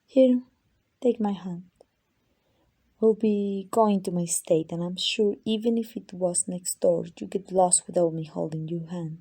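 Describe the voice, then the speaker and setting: Woman playing a breathy, possessive female vampire. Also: fairly low pitch, unhurried, warm tone. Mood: teasing, possessive. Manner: condescending, teasing, dropping into whispers. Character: ancient arrogant possessive yandere female vampire, stalking her hunter. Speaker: amateur voice actor. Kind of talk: ASMR roleplay monologue.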